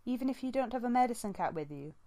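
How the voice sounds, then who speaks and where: A woman voicing a gentle, earnest young female healer cat in an audiobook. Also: fairly high pitch, lively intonation, fast talker, pitched above the performer's own voice. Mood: earnest, hurried. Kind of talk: audiobook character dialogue.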